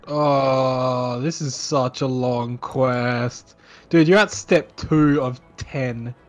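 A man's voice: medium pitch, steady tone, drawn-out words, soft background music. A man, down with a sniffle, teasing his friend and laughing often in casual voice chat. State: sick with a sniffle.

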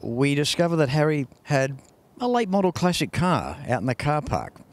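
A man's voice: on the high side, very animated, moderate pace. An older Australian man hosting a car show in a large showroom, chatty and enthusiastic, on a lapel mic. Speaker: host.

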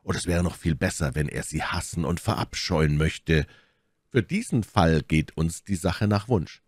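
Man as a low-voiced, commanding, wry musketeer. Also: breathy edge, no room sound, studio quality. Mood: deliberate, assured. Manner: measured, unhurried, authoritative. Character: calm, commanding, wry older male musketeer. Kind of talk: audiobook character dialogue.

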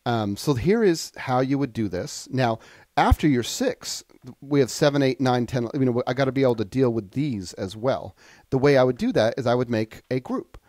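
A man demonstrating software in a casual, conversational tutorial style, explaining things informally step by step. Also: medium pitch, expressive, moderate pace, no room sound.

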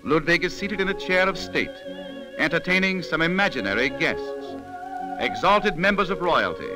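A man narrates a vintage radio drama in scripted 1930s style. The voice is on the high side and moves animatedly, with music clearly playing beneath it. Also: expressive, normal speed, rough recording.

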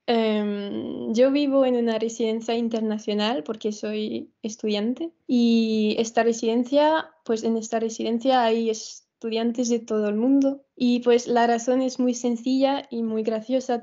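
Soft-spoken young Frenchwoman on a video call. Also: on the high side. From France. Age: 20s. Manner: soft-spoken, halting, with fillers. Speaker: guest, dancer. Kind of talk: conversational interview. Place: small bedroom with photos on the wall. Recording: dull video call audio.

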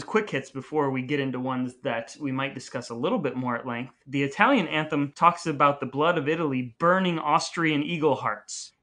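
A man's voice: on the high side, pushed and bright. A man co-hosting a podcast with casual, playful banter and dry humour. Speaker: podcast co-host.

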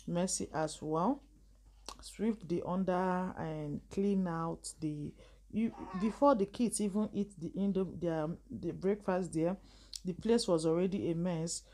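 A woman's voice: on the low side, warm tone. Chatty woman narrating chores. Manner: chatty, rambling, laughs often. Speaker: vlogger. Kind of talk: casual cleaning narration.

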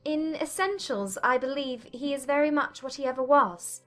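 A poised young gentlewoman from a period audiobook, played by a woman, speaks in a high voice. She is guarded and quietly firm, with measured, formal phrasing. Faint music sits behind her. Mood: composed, deliberate. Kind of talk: dramatized audiobook acting of a period novel.

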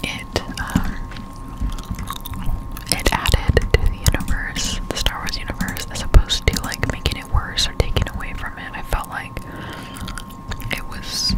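A young woman whispering casual gameplay chat in an ASMR style, slow and halting, close to a desktop microphone at home. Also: low-quality recording. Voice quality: breathy unvoiced whisper. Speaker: gaming ASMR streamer. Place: indoors in a room at home. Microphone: close-up desktop condenser microphone.

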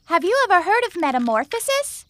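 A woman's voice: very high-pitched, sing-song, fairly quick, no room sound. A woman voicing a knowing animal friend in a children's cartoon, explaining with grand enthusiasm. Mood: enthusiastic.

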